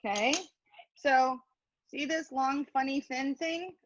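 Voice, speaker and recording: High-voiced playful woman in dull audio. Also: slow, slight background noise. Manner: playful, chatty, self-deprecating. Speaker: cooking instructor. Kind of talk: casual hands-on cooking demonstration.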